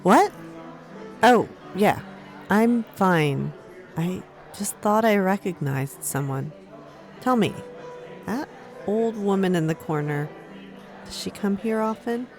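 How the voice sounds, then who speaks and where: A woman's voice: medium pitch, lively intonation, takes it slowly, long pauses, darkish tone, faint music behind. A woman acting a guarded, reflective young storyteller in a fantasy audio drama. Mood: guarded, wistful. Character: woman tavern storyteller, twenties, recalling a hard youth.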